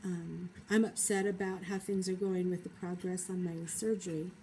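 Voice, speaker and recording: A woman with a cold, talking through her feelings in a thoughtful, hesitant personal vlog monologue with many pauses. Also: on the low side, level tone, a little mellow, a little hiss or hum. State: has a cold. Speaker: vlogger.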